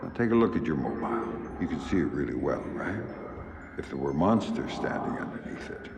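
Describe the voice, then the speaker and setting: A middle-aged man with an American accent playing a gruff covert agent and tender father in a video game, gravelly, measured and quiet. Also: a bass voice, big pitch swings, reverberant, background noise, heavily acted. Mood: soothing.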